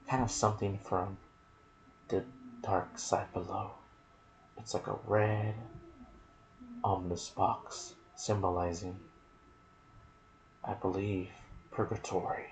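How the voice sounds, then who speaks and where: A soft-spoken man hosting an ASMR video about game lore, with a hushed delivery that slips into whispers. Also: low-pitched, slight background noise, low-quality recording. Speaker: video host and gaming content creator.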